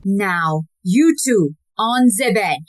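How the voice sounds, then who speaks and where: A woman's voice: mid-range voice, lively intonation, takes it slowly, noisy background. A woman playing a cold, menacing, commanding society wife turned murderer in an audio play.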